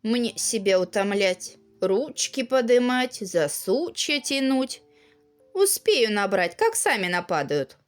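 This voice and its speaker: Woman playing a haughty, lazy fairy-tale girl, lilting high. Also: sing-song, slow pace, faint music behind. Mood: dismissive. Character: lazy, haughty, self-pampering young girl.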